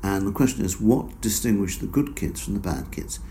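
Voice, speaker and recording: A man answering interview questions about his research in a measured, explanatory way, with frequent fillers. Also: low voice, animated, warm tone, slight background noise. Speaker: researcher guest.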